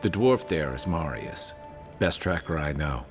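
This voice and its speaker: Worldly fantasy game sidekick: deep pitch, dry, conversational, understated counsel. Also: male voice, animated, measured pace, over music, exaggerated voice. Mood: casual, dry. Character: dry, worldly male companion advising the envoy. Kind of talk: video game dialogue, conversational.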